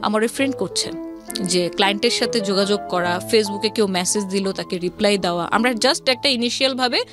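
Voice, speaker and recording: A woman's voice: medium pitch, a little fast, over music. A woman with a Bangladeshi accent telling her personal story conversationally as an interview guest, mixing English words into her speech.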